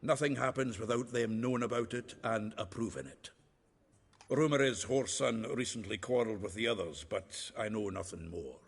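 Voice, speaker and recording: An actor playing a gruff, roguish dwarf in a video game, blunt and wry, with a gravelly, husky voice. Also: male voice, on the low side, normal speed, big-room sound. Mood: blunt, explanatory.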